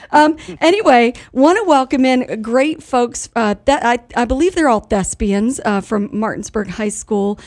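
Woman, American accent, radio host, fairly high voice, chatty informal interview style, dry studio sound. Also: a little mellow, no echo. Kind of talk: casual live radio interview.

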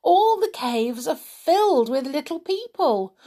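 A woman voicing a small, shy, excitable male rabbit in a storybook reading, with a high squeaky pleading voice. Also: measured pace. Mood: frightened.

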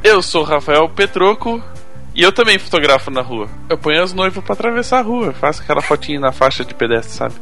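A man's voice: on the high side, big pitch swings, fairly quick, sharp tone, soft background music. A man hosting a talk show, teasing his co-host and reading out listener mail. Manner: joking, teasing banter. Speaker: podcast host.

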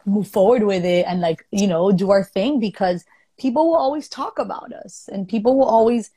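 A woman's voice: mid-range voice, moderate pace, warm tone, slightly rough, no room sound. Young woman, from Colombia, earnest, thoughtful, filler words, dry close sound. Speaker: guest. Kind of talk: casual conversation.

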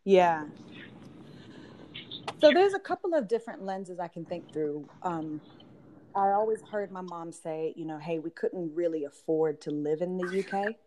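Low-voiced woman in her thirties, noisy recording. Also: expressive, unhurried, dark tone. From Georgia, US. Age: 30s. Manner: thoughtful, many ums and restarts. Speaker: interview guest. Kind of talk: casual interview, personal story.

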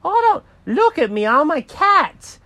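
Someone voicing an excitable, high-pitched talking cat. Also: a little slow, no echo, heavily acted. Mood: indignant, distressed. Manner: dramatic, indignant, boastful. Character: excitable, self-important talking cat, male.

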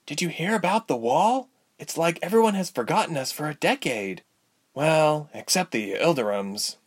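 A man's voice: fairly high pitch, animated, normal speed, slightly rough, pitched above the performer's own voice. A man voicing an earnest, impatient young woman adventurer in a storybook, polite but urgent and full of questions. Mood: eager. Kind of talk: storybook character voice.

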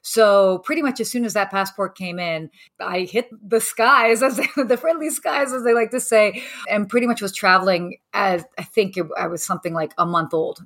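A woman's voice: medium pitch, a bit bright. A woman, a podcast guest who grew up in the United States and Saudi Arabia, talking in an open, upbeat and reflective way. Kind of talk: casual interview talk.

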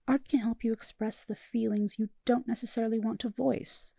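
Woman doing a pushy-cheerful robot therapist, higher and louder, gravelly. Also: steady tone, normal speed, no echo, studio quality. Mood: encouraging. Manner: bright, encouraging, strained politeness. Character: eager, patient male therapist robot.

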